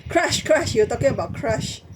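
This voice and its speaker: A woman's voice: fairly high pitch, lively intonation, moderate pace. A woman from Malaysia speaking as a student to her spiritual teacher, informal, hesitant and deferential. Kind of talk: informal questioning and reading aloud. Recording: faint background noise, clicks.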